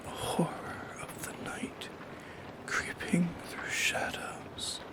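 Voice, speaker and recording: A lonely shadow creature of the night, played by an ASMR performer, speaking in a breathy whisper. The words come very slowly and hesitantly, in a wistful, philosophical roleplay monologue. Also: lightly acted. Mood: anguished, fearful. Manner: slow, hesitant, philosophical musing. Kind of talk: soft-spoken ASMR monologue.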